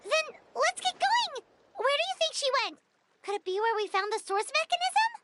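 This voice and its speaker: Very high, squeaky little fairy companion. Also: female voice, big pitch swings, moderate pace, background noise. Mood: excited. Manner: perky, exclamatory. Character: small childlike floating fairy companion, chirpy and curious. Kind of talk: English video game voice acting.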